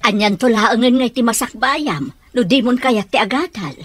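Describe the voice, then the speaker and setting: A woman's voice: fairly high pitch, animated, moderate pace, dry recording. A woman playing a worried, earnest mother in a radio drama. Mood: concerned, pleading. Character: worried, earnest mother urging her child to study.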